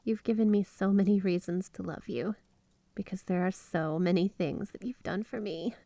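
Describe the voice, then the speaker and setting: A woman's voice: medium pitch, fairly even intonation, normal speed, mellow, close to an everyday voice. A woman playing a flirty, obsessively devoted yandere wife in an ASMR roleplay, speaking in a sweet, clingy, gushing way. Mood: loving. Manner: sweet, clingy, breathy giggles, gushing affection. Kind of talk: ASMR roleplay, addressing the listener as spouse. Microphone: close studio microphone, dry.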